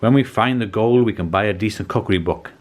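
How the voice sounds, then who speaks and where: A man's voice: fairly low pitch, fast talker, close to an everyday voice. A man voicing a bossy treasure-hunting pirate chief in a children's storybook. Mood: wistful.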